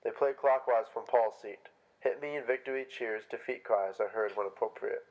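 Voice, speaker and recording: A man's voice: lowish voice, moderate pace, highs cut off. A man reading stage directions as scripted narration for a play.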